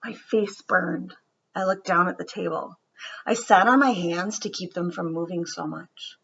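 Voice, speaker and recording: A woman reading a picture book aloud as a storyteller for children, with expressive narration and deliberate, dramatic phrasing that drops into hushed softness. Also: lowish voice, vocal fry, muffled recording, a little hiss or hum.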